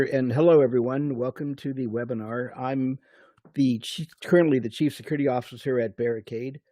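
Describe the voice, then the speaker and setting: A man in his sixties, a security officer on a panel, speaking in a measured, reflective way. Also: mid-range voice, dark tone, no echo. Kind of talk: panel discussion.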